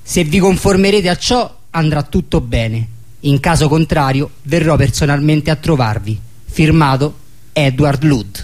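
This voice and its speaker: A man's voice: a little high, animated, a little slow, a little mellow. A man playing a Luddite rebel leader in a radio drama, formally reading out his threatening letter with veiled menace. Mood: polite menace.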